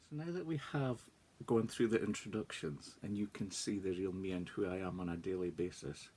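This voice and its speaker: A man's voice: lowish voice, darkish tone, a little hiss or hum. A Scottish man in his forties, a life coach, speaking candidly and reflectively in a personal vlog. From northeast Scotland. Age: 40s.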